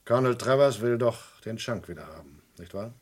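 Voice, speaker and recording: An actor playing a secretive underworld informant in a German radio crime play, clipped, guarded and matter-of-fact. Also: male voice, medium pitch, animated, brisk, darkish tone, slightly rough. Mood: insistent.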